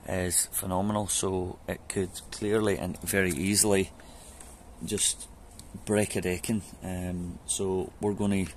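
A man with a very low voice talks viewers through a chore in loose, chatty sentences, full of ums and restarts. He is out in his back garden, caught by a camera mic with soft outdoor sound behind him. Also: flat delivery, measured pace, slight background noise. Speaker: home DIY vlogger. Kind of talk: casual how-to vlog. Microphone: camera mic on a fixed camera.

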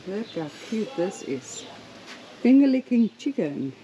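Female, soft mellow tone, mid pitch, casual chat, faint ambient noise. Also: sing-song, a little slow, muffled recording. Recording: faint background ambience on camera audio.

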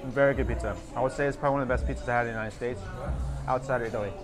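A man's voice: medium pitch, steady tone, very fast, over music. A man in his thirties filming a restaurant review vlog, handheld camera mic in a restaurant dining room, enthusiastic and repeating his praise. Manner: enthusiastic, repeats wow and very nice. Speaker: food vlogger. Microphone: handheld camera with small mic.

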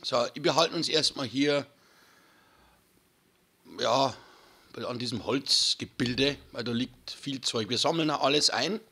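A hoarse man, chatting casually to viewers while commentating his own gameplay. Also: mid-range voice, slow pace, long pauses, bright, forceful tone. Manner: chatty, addresses viewers as friends. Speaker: gaming channel host. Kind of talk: casual let's-play commentary.

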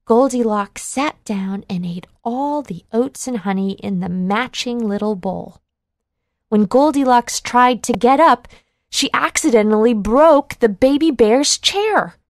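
A woman narrating a children's storybook in a measured, clearly enunciated read-along delivery. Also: fairly high pitch, no room sound.

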